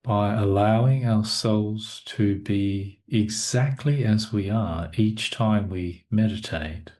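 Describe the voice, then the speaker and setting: A male meditation teacher talks at an extremely unhurried pace. His voice sits fairly low, and he delivers the guidance in short, measured phrases, leaving long silences between them. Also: a little mellow. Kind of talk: guided meditation talk.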